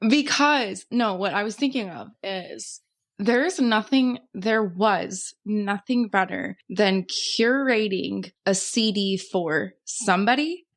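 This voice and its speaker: A woman with a medium-pitched voice co-hosts a podcast. She chats casually and reacts expressively, with plenty of slang. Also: slow pace. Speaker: podcast co-host. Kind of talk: casual chat.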